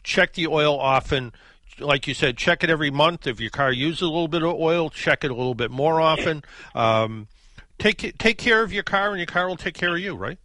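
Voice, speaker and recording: A man's voice: on the high side, normal speed, bright, forceful tone, no room sound. A man hosting a call-in radio show about cars, talking in a loose conversational way with fillers and restarts. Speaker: radio car show host. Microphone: studio microphone.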